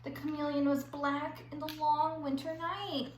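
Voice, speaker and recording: High-voiced woman teacher in an echoey room. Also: level tone, unhurried. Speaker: elementary school teacher. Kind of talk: children's read-aloud and activity lesson. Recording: echoey room with faint background noise.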